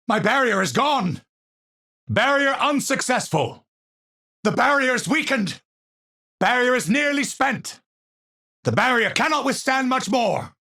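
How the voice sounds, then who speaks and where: Eccentric scientist, wildly swinging pitch. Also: male voice, bright, forceful tone, husky, exaggerated voice. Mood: urgent, alarmed. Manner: formal, precise, theatrical delivery. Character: eccentric, troubled male genius physicist, erudite and obsessive. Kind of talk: video game character voice lines.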